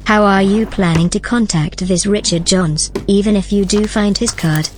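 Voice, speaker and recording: A woman playing a calm, formal and helpful artificial intelligence assistant in an audio drama, measured and matter-of-fact. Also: mid-range voice, soft background music, natural voice. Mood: calm, inquiring.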